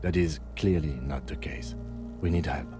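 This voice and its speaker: An actor playing a calm, reserved police lieutenant who speaks formally in a video game. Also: male voice, very deep voice, moderate pace, loud music behind the voice. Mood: composed.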